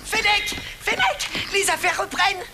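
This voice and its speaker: A woman voicing an excitable, scheming male cartoon animal who exclaims and stammers when flustered. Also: extremely high pitch, normal speed, heavy background noise. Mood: excited. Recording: loud music behind the voice.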